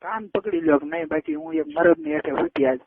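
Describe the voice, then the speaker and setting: Young man on a phone line, coaxing and persistent, quick talker with gravelly fry. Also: extremely high pitch, very animated, no room sound. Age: early 20s. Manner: insistent, coaxing. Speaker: caller. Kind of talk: casual private phone chat. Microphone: telephone. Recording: tinny phone call recording.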